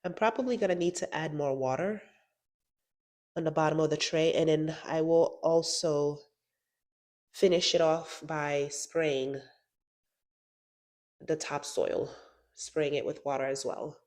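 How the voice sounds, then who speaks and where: A woman's voice: deep voice, fairly even intonation, unhurried, pauses often, darkish tone. A woman with a stuffy nose from a cold, chatting casually through a gardening how-to vlog and saying "y'all" often. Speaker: gardening vlogger.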